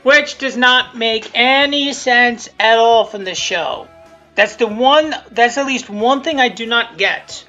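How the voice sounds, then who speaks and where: A woman giving casual, unscripted video commentary, rambling and haltingly restarting her sentences as she goes. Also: on the high side, bright, forceful tone. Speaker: creator of a fan abridged series giving commentary. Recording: dull lo-fi sound with music underneath. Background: music from the video being commented on.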